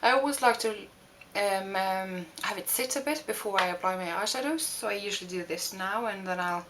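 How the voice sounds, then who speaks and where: A young woman from Norway with a Norwegian accent, talking through a makeup tutorial with many pauses and restarts. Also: medium pitch, fairly bright tone, slight background noise. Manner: hesitant, many fillers and restarts. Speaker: beauty vlogger. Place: home room.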